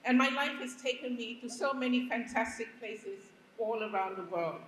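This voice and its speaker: A woman's voice: a little high, normal speed, a bit bright, slight background noise. A woman from Liberia telling a true story to a live audience, reflective and earnest. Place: stage before a live audience. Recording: long hall reverb on stage.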